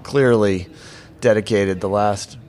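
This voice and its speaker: A man hosting a podcast interview, friendly and relaxed, with quick affirmations. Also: lowish voice. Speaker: podcast host. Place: conference venue.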